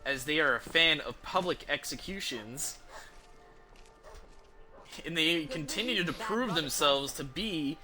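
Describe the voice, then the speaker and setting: A man giving casual, halting video game commentary as he thinks aloud. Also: a little high, expressive, bright, forceful tone, faint music behind.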